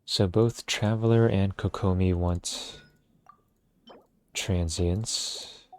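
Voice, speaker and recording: Guy streaming a game, real low voice, mumbling thoughts to himself casually, bit of noise behind. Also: steady tone, extremely slow, a little mellow. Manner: low-key, thinking aloud. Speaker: livestreamer. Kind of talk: casual gameplay commentary.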